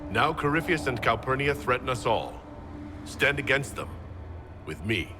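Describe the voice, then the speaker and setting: An actor playing a gallant, resolute hero in a video game, speaking in an earnest, direct manner. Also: male voice, medium pitch, very animated, normal speed, over music, natural voice. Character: gallant, resolute male hero leading a fight. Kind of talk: dramatic video game acting.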